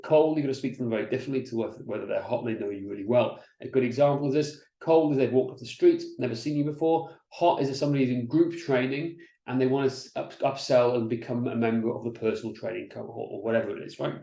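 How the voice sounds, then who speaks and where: Man, middle-aged, business coach, mellow voice, chatty webinar delivery, roomy echo. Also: medium pitch, fast, gravelly, few pauses. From Wales. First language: Welsh. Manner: energetic, chatty, with frequent restarts and "right?" checks. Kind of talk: presenting slides in an online masterclass.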